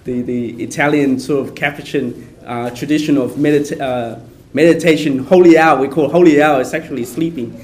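A soft-spoken man from Vietnam, a Capuchin priest, leading a prayer workshop for a small group in a casual way and leaning on his notes. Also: fairly high pitch, fairly even intonation, fairly quick. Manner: soft-spoken, relies on notes, chatty asides. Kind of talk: informal prayer workshop talk.

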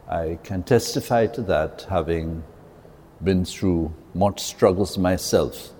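A man speaks slowly in a low, mellow voice, addressing a church congregation. He talks earnestly and haltingly, repeating words as he gathers his thoughts. His voice rings out with the long reverb of a large cathedral. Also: husky. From Jamaica. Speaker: priest. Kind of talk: spoken talk to a church audience.

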